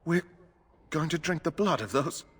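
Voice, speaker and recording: A man's voice: on the high side, expressive, moderate pace, fairly bright tone, breathy edge. An actor voicing an anxious male knight recruit in a video game, worried and complaining. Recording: game audio with long reverb.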